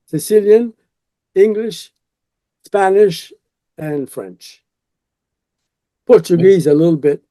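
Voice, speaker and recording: A man's voice: sits high, takes it slowly, long pauses, dark tone, no echo. A man whose first language is Italian, speaking earnestly as a professor in an interview. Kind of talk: conversational interview, reading a poem aloud.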